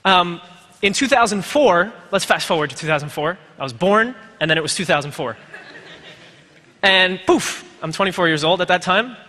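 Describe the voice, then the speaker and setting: A man's voice: high-pitched, lively intonation, moderate pace, pushed and bright. A man in his twenties giving a conference talk in a rambling, wry, self-deprecating way full of fillers and asides. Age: 20s. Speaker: conference speaker. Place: large lecture hall. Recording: reverberant lecture hall.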